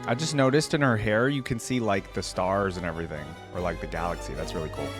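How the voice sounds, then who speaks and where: Man reacting casually over clear background music. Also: low voice, quick pace. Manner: enthusiastic, conversational, addresses viewers as "guys". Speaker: reaction video host. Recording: music playing behind the voice.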